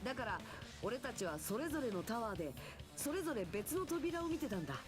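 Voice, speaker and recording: Earnest teen boy investigator, anime game style, lively and very quick. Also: a little high, over music. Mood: earnest deduction. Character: earnest teenage boy reasoning through a mystery. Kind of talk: Japanese game voice acting.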